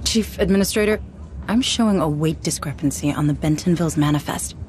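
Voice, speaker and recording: An actress playing a formal, deferential deputy administrator who goes by the book, in a sci-fi video game. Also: female voice, low-pitched, fairly quick, darkish tone, a little hiss or hum, natural voice. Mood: concerned, dutiful.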